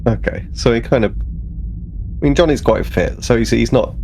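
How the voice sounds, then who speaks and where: A man's voice: mid-range voice, moderate pace, creaky, a little mellow, a little hiss or hum. A man playing a tabletop roleplaying game, talking casually and tentatively as he improvises his character's actions.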